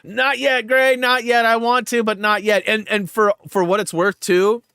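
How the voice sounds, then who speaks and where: A man's voice: sits high, level tone, moderate pace, vocal fry, pushed and bright, smooth, no room sound, studio quality. Rambling man hosting a livestream. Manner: rambling, filler-heavy, lots of "like". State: stuffed up. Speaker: livestream host. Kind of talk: casual livestream chat.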